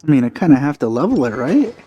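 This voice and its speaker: A man's voice: medium pitch, very animated, fast talker, dark tone, highs cut off. A man giving casual let's play commentary, informal and musing to himself as he goes. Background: faint game music.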